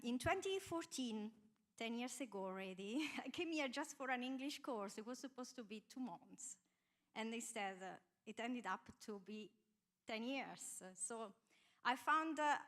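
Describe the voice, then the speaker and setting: A woman in her thirties with an Italian accent introducing herself on a panel, pausing often with filler sounds. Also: medium pitch, fairly bright tone. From Italy. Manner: hesitant, many filler sounds. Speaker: panelist, architect.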